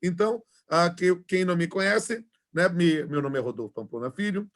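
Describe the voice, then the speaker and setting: A man's voice: sits high, moderate pace, fairly bright tone. Man, forties, Bahian accent, law professor, chatty, video call. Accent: Bahian Portuguese. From Salvador, Bahia, Brazil. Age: 40s. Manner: chatty, filler-heavy, friendly. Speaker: law professor and labor judge. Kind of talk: casual self-introduction in an online class. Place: home, online class.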